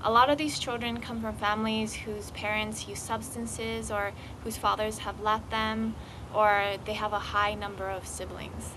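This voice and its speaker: A woman, a student volunteer, giving a matter-of-fact, informational interview testimonial. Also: medium pitch. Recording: clear background traffic noise.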